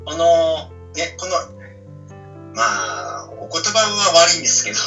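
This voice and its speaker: Keen guy pitching ideas on a radio chat, very bright voice, off-mic in an echoey room, dull sound. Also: very animated, a little slow. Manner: enthusiastic, pitches ideas with rhetorical questions. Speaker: radio show co-host. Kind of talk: casual chat. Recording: roomy, distant, dull sound with faint music.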